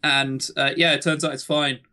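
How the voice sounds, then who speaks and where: A man's voice: on the high side, level tone, normal speed, pushed and bright. A man of twenty-two co-hosting a podcast in casual, joking chat, with tongue-in-cheek, self-deprecating banter. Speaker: podcast co-host.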